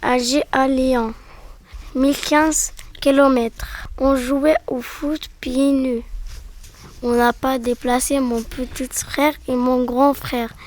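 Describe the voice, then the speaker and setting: A teenage girl reads her memories aloud in a high voice. She goes slowly and carefully through each line. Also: little pitch movement. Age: teens. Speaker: student reading her text. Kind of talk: read-aloud personal account.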